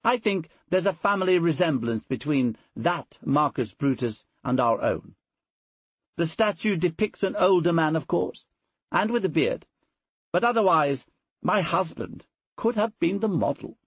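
A man voicing a proud, sharp-tongued Roman noblewoman in an audiobook, brisk and self-assured. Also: a little high, very animated, slightly rough, no room sound, voice pushed up, heavily acted. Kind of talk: audiobook character dialogue.

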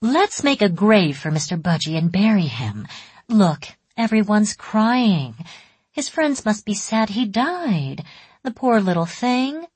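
An earnest, anxious mother acted by a woman reading a story coaxes her child tenderly. Her voice is a little husky and recorded dry and close. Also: mid-range voice, sing-song, slow, a little mellow, dry recording, voice pushed up, full character voice. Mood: reproving, tender. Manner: coaxing, tender.

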